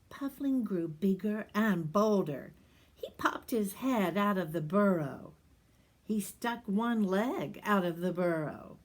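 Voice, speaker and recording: A woman reading a picture book aloud at a slow, unhurried pace. Her voice is gentle and soft, often dropping into whispers, with a middle pitch. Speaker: reading teacher.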